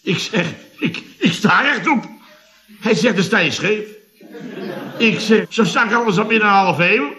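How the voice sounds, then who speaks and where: Middle-aged contractor, radio comedy, tense sharp tone, blustery, flustered, stammering, repetitive, self-pitying. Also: male voice, high-pitched, unhurried. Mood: indignant.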